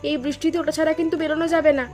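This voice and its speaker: A woman voicing an affectionate, eager young married daughter in an animated story, with brisk chatty delivery. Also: high-pitched, soft background music, lightly acted. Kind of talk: Bengali animated story dialogue.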